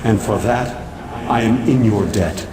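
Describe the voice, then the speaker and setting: An actor playing a cursed, undead knight captain in a video game, solemn and pleading with formal, honourable speech. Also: male voice, lowish voice, a little slow, close to an everyday voice. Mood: grateful, solemn. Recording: distant, cavernous reverb, clearly noisy.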